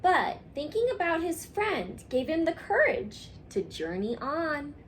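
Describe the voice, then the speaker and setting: Woman, high and very lively voice, expressive children's story reader who leaves dramatic pauses. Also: slight background noise. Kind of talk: expressive picture-book read-aloud for children.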